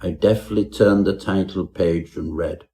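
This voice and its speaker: Man performing a low-voiced pompous Edwardian gentleman storyteller. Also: steady tone, measured pace. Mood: pompous, confiding. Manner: pompous, florid, melodramatic. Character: pompous Edwardian professor narrating his own horror tale. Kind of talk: scripted parody Victorian ghost story narration.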